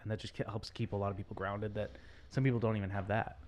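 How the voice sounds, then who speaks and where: A man's voice: fairly low pitch, little pitch movement, fast talker, a little mellow, a little hiss or hum. A man joining the chat as a co-host, talking his thoughts through with restarts. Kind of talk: casual chat.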